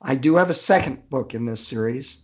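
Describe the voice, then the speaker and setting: A man's voice: medium pitch, animated, normal speed. A man speaking as an author guest in a casual interview.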